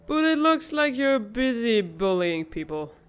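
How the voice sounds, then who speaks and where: Woman as a smooth, unhurried, wry big sister. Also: fairly high pitch. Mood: teasing. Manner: teasing, calm, gently scolding. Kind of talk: animated comic-dub voice acting.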